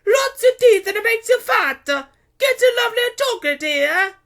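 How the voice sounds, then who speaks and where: A shrill, very high-pitched woman stall keeper from a storybook. She is loud and scornful, booming and barking her words in big haughty swoops. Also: wildly swooping pitch, unhurried, gravelly, full character voice. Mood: hawking loudly.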